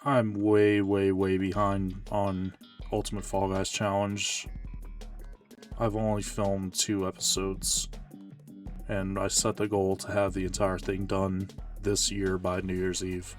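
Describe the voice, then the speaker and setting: Tired, low-voiced male streamer. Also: completely flat delivery, slow, distant mic, faint music behind. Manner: rambling, self-correcting, low-key. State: tired, slightly hoarse. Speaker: Twitch streamer. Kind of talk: casual live-stream gaming chat.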